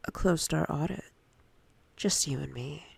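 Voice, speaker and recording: A woman voicing a domineering, predatory female boss in intimate roleplay ASMR, speaking in a breathy, often whispered voice with slow, commanding, teasing menace. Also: deep voice, dry recording, exaggerated voice. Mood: cold, threatening.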